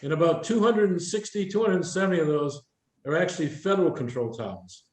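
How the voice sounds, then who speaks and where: An older man with a slightly high voice speaks in a roomy, echoing space. He presents like an informal instructor, explaining step by step and quizzing his listeners as he goes. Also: expressive, fast, a little mellow. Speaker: presenter, retired air traffic controller. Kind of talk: career workshop presentation, explaining with questions to the audience. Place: indoor room with a live audience.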